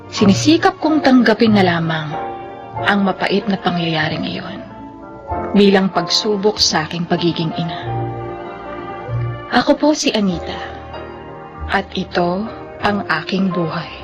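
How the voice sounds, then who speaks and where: Mother narrator, actress: middle-aged, sorrowful and resigned, scripted radio storytelling over a clear music bed. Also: medium pitch, unhurried, long pauses, close to an everyday voice. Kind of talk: scripted first-person radio drama narration.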